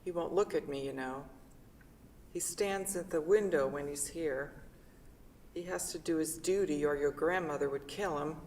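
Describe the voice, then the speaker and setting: A woman's voice: normal speed, darkish tone, a little hiss or hum, natural voice. A woman playing a bitter, ailing mother who complains and accuses from a hospital bed, in a staged play reading. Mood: bitter, accusing. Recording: rumbly, echoey hall from far off.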